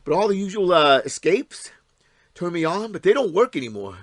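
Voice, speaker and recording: Troubled estranged husband, higher-pitched voice, halting, self-pitying, confessional, radio play drama. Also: male voice, sing-song, dry recording, clean studio sound. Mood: anxious, confessional. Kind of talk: audio play acting.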